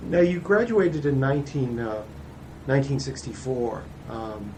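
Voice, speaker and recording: A man's voice: mid-range voice, a little slow, warm tone, a little hiss or hum, low-quality recording. A man interviewing a veteran, asking questions with hesitant restarts. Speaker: oral history interviewer.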